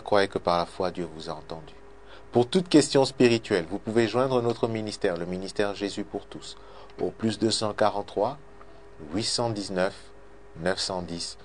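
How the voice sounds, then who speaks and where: A man preaching a radio sermon and leading a prayer, fervent, repeating his phrases and swinging between loud and soft. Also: on the low side, a little hiss or hum. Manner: fervent, repeats phrases, swells and drops in loudness.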